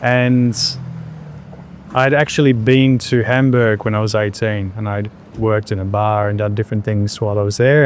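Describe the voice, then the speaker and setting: A man, answering interview questions in a reflective, unhurried way with pauses and fillers. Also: lowish voice, darkish tone, slight background noise. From Australia. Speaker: entrepreneur guest.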